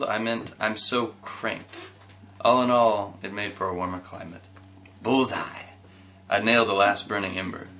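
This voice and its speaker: A man with a lowish, sharp-edged voice reads a comic story aloud. He is animated, often breaks into giggles and sudden yelps, and there is a faint hiss behind him. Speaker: reader.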